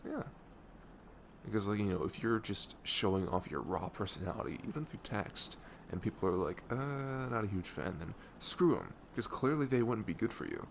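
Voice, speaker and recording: A young man playing a caring, reassuring best friend in an intimate ASMR roleplay, soft-spoken and earnest, with audible noise in the recording. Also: lowish voice, sing-song, normal speed. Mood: sympathetic, candid. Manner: soft-spoken, often breathy, hesitant, earnest. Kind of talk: ASMR roleplay acting, intimate casual talk.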